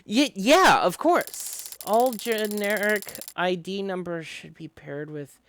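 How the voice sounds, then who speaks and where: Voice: mid-range voice, animated, slow, a bit bright, slight background noise, pitched above the performer's own voice. A streamer voicing a flustered, hesitant game companion who stammers and is eager to help. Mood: nervous, eager.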